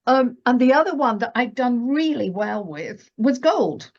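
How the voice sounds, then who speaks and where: A woman's voice: on the high side, lively intonation, a little slow, highs cut off. A woman speaking as a guest market analyst in a casual, chatty conversation, often checking for agreement with little tag questions.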